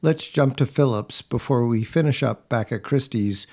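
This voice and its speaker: A man hosting and narrating a report, with a steady, scripted delivery. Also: medium pitch, normal speed. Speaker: host and narrator.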